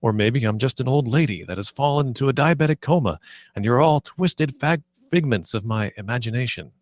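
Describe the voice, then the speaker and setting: A man's voice: medium pitch, animated, a little fast, no echo, lightly acted. A man playing a suave, sentimental spaceship captain in his fifties, speechifying grandly at a comedy table read. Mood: grand, earnest. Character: suave fifty-something male spaceship captain, oddly sentimental.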